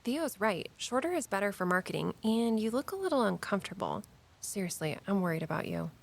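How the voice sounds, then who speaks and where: A woman's voice: medium pitch, sing-song, brisk, vocal fry. A woman playing an earnest, caring, mystically minded tour guide and daughter in an audio drama, speaking conversationally. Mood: concerned.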